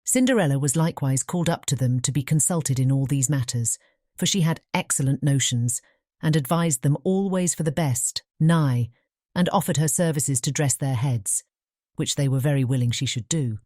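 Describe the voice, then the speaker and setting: A woman with a British English accent narrating a fairy tale audiobook in a measured storytelling manner. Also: a bass voice, dark tone, dry recording, studio quality.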